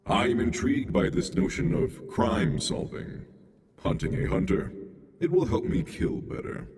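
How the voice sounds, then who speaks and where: A man voicing a bloodthirsty, curious robot hunter in a video game, speaking formally and deliberately through robotic processing. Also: mid-range voice, very animated, a little mellow. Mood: intrigued. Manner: deliberate, formal, menacingly literal. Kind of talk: video game character dialogue.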